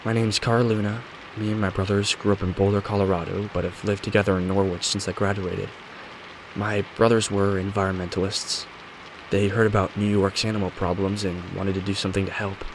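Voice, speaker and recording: A man's voice: on the low side, a little fast, close to an everyday voice. A man voicing a determined young woman who explains things matter-of-factly in a scripted mystery story. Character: determined young woman recounting her brothers' investigation. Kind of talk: scripted audio story dialogue. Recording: steady rain noise behind voice.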